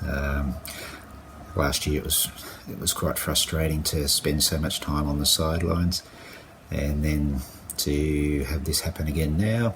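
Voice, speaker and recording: A man talking through his injury recovery in a casual video diary, halting and full of fillers and restarts. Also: extremely low pitch, noisy background. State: croaky with a cold. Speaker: vlogger.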